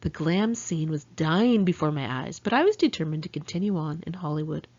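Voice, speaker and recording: A woman's voice: sits low, big pitch swings, fairly quick, a little mellow, highs cut off. An American woman narrating her own memoir in a candid, conversational, salty-tongued way. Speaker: author reading own memoir. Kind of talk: first-person audiobook narration.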